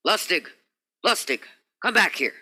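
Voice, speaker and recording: A man's voice: high-pitched, very animated, a little slow, bright, forceful tone, breathy edge, dry recording, studio quality, exaggerated voice. A man acting a stern, logical spaceship captain in a radio play, commanding and insistent. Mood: stern, alarmed. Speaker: actor in a student radio play.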